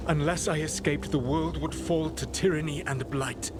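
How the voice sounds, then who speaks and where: An actor playing a solemn, remorseful and proud ancient mage in a video game, speaking in measured, formal phrases. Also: male voice, fairly high pitch, fairly even intonation, background noise, close to an everyday voice. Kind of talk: dramatic video game cutscene acting.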